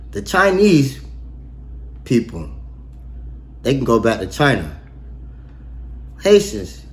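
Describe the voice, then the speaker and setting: A man reading scripture verse by verse and explaining it in casual, slangy, emphatic talk with rhetorical questions. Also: mid-range voice. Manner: asks rhetorical questions and pauses for effect. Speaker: online bible teacher. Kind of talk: casual verse-by-verse bible breakdown.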